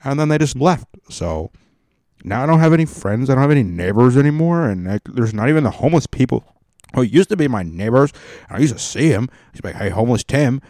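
A man's voice: mid-range voice, animated, brisk, darkish tone, dry recording, studio quality, pitched above the performer's own voice, close to an everyday voice. Man doing a rambling Californian caller. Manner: meandering, full of "like" fillers. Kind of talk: comic podcast bit.

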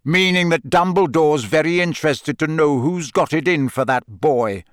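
A man voicing a gruff, battle-scarred older male professor in an audiobook, growling, taunting and sardonic with quiet menace. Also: on the high side, dry recording, studio quality, lightly acted. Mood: challenging. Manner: barking, taunting, deliberate. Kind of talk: audiobook character voice.

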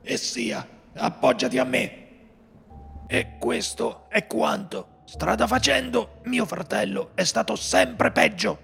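A man's voice: high voice, very animated, takes it slowly, vocal fry, fairly bright tone, soft background music, full character voice. A man playing a woodcutter protecting his wounded brother in a radio drama. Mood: grim. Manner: urgent, protective. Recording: long hall reverb.

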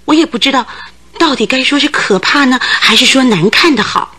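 Fretful, husky middle-aged landlady, high-voiced. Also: brisk, pushed and bright, exaggerated voice. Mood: frightened, aghast. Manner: agitated, gossipy, exclaiming. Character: thin, fidgety, chatty landlady of about forty. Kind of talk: Chinese radio drama acting.

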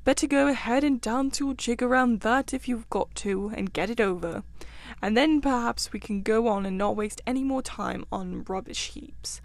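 A woman's voice: fairly high pitch, moderate pace, dry recording, close to an everyday voice. A woman voicing a grumpy, literal-minded male mole in a storybook, with a plaintive, pettish, stubbornly matter-of-fact manner.

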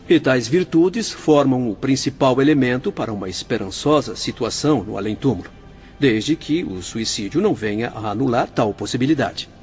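A man acting as a young spirit doctor in a radio play, patient and instructive. His mid-pitched voice is formal, in a dull recording with music underneath. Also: a little mellow, natural voice. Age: young adult.

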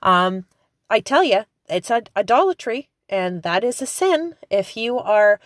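A woman in her forties preaching informally, turning impassioned with high emphatic calls and chatty fillers. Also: very animated, a little slow, dry recording, studio quality. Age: 40s. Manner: conversational with frequent fillers.

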